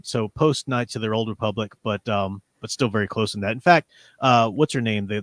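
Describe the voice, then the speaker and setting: A man's voice: mid-range voice, a bit bright, dry recording. Male livestream host, 40s, mid-range voice, very dry recording, enthusiastic and discursive fan chat. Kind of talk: casual fan discussion of comics and audio dramas.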